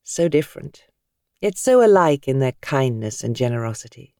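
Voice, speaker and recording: A British woman reading fiction as an audiobook narrator, with measured, expressive, dramatic storytelling. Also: very deep voice, dry recording, studio quality.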